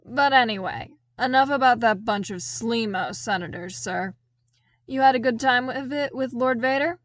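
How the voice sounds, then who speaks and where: A woman's voice: a little high, normal speed, dry recording, voice pushed up, natural voice. A woman voicing a frank, teasing, loyal male soldier in an audiobook, wry and familiar but deferential. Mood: amused. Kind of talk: audiobook character dialogue.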